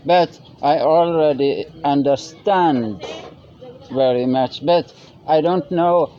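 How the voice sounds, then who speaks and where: A middle-aged Norwegian man in casual outdoor chat, speaking English with a Norwegian accent and often stopping to search for words. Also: fairly high pitch. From Norway. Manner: halting, searching for English words with many restarts. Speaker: vlogger. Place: outdoors by a river. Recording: slightly dull lo-fi recording.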